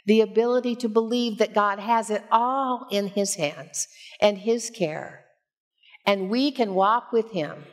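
Slow-paced older woman preaching. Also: mid-range voice. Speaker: pastor. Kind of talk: sermon with personal storytelling. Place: church sanctuary, at a pulpit. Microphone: headset.

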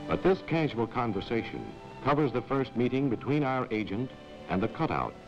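An actor playing a wry, knowing narrator of a 1940s spy training film, with a clipped, sardonic delivery. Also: male voice, medium pitch, big pitch swings, moderate pace. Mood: explanatory. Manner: sardonic, clipped, addressing the character. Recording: muffled 1940s film soundtrack, music behind.

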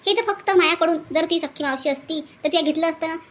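A woman voicing a warm-hearted older cartoon village auntie, gushing and insistent, repeating herself in rustic Vidarbha Marathi. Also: very high voice, fast. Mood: coaxing, insistent. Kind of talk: comedy cartoon dialogue.

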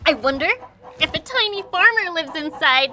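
A woman's voice: very high-pitched, expressive, normal speed, bright, forceful tone. A young woman presenting an educational children's show outdoors at a farm, in an exaggerated, sing-song, exclamatory way. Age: young adult. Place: outdoors at a farm with animal pens.

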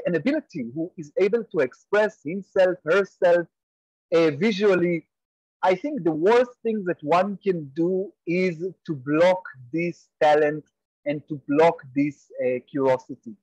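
Brief: Israeli man, 40s, guest professor, earnest and measured, slightly high voice, dry close sound. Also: darkish tone, dry recording, dull audio. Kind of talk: webinar interview answer. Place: home room on a video call, virtual background. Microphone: earphone mic on a video call.

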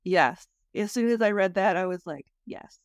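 A woman's voice: mid-range voice, lively intonation, moderate pace, no room sound, studio quality. A woman hosting a podcast in a casual, conversational style. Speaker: podcast host.

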